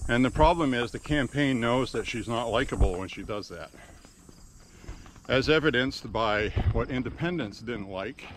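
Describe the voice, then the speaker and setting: A man who once worked in radio, talking slowly and deliberately while walking on a country road, recorded through a Bluetooth earpiece. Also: mid-range voice, fairly bright tone, slight background noise. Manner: slow, deliberate radio-trained delivery. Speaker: walking vlogger, former radio host. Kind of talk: casual walking vlog monologue. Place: outdoors on a quiet country road. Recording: faint crickets outdoors. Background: crickets and insects.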